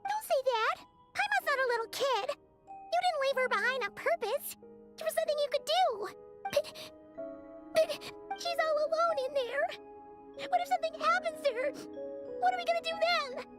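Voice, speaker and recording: Squeaky little fairy companion. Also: female voice, animated, normal speed, long pauses, loud music behind the voice. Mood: distressed, pleading. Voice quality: squeaky, childlike. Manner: pleading, speaks of herself in third person. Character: small childlike fairy companion, distraught and loyal. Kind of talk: video game voice acting.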